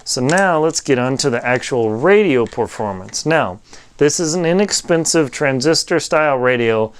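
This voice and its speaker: A man giving a casual, unscripted product review, talking in a halting way as he thinks aloud while demonstrating. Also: mid-range voice, animated, smooth.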